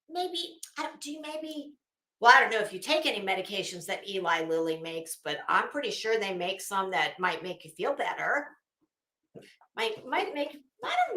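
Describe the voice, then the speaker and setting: A woman with a high voice, recorded in an echoey room. She delivers a dramatic reading as online commentary, mixing formal text with mocking asides. Her manner is blunt, emphatic and openly sarcastic. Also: sharp tone. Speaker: online commentator reading a legal motion aloud.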